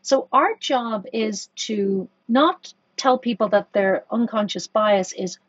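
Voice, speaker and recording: Woman, executive guest, husky mid voice, dull dry podcast sound, thoughtful and hesitant. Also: mid-range voice, takes it slowly, no room sound. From Ireland. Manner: thoughtful, many restarts and fillers. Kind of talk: conversational podcast interview.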